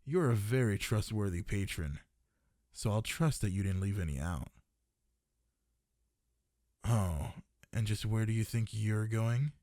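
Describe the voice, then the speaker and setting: Low-voiced flirty dragon librarian, acted by a man. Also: expressive, a little slow, pauses often, mellow, no room sound, studio quality. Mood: flirty, persistent.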